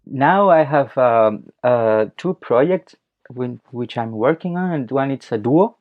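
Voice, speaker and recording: Male podcast guest, Chilean Spanish accent, mid-range voice, halting casual delivery, slightly dull recording. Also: mid-range voice, expressive. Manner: halting, searching for words. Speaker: podcast guest, circus clown and comic actor. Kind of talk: casual interview talk. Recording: dull lo-fi audio.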